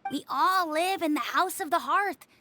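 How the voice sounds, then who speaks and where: A small, dutiful child from a video game. The voice is extremely high and bright, swinging expressively while staying earnest and loyal. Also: female voice, moderate pace, a little hiss or hum. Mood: earnest, grave.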